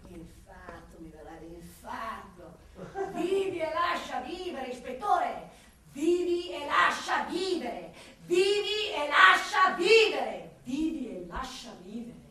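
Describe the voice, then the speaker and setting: Casting for radio drama: actress as elderly male ex-taxi driver, timid, flustered and repetitive; bright tone, distant miking. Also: very high-pitched, heavily acted. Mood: pleading, panicky. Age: older. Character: frightened, defensive retired male taxi driver, self-pitying. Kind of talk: dramatic radio theatre monologue. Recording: echoey room, distant mic, faint noise.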